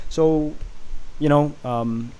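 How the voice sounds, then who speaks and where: A man from Singapore, chatting casually on a home livestream and reading out chat, halting and full of fillers. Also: on the high side, dark tone, low-quality recording. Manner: rambling, halting, many fillers and restarts. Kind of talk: casual live stream chat.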